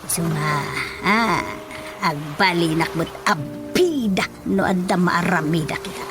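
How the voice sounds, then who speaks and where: A woman's voice: lowish voice, expressive, measured pace, over music. A woman playing a feisty, easily panicked village office worker in a comic radio drama, exclaiming expressively. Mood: uneasy muttering. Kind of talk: radio drama comedy acting.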